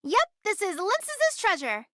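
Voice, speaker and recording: Very high, feisty anime girl smuggler. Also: wildly swooping pitch, unhurried, fairly bright tone, dry recording, clean studio sound, exaggerated voice. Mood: earnest, wistful. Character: feisty, girlish young female treasure-keeping smuggler, proud but caring. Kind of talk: anime video game voice acting.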